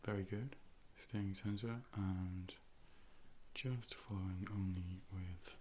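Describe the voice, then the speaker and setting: A calm, reassuring A.I. eye doctor played by a man with a low male voice. The delivery is soft ASMR role play, gently clinical and formal, sometimes slipping into a whisper. It is captured on a close microphone with a faint background hiss. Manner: measured, formal step-by-step instructions, gently clinical. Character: calm, polite robot A.I. optician, clinical and reassuring. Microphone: close binaural microphone.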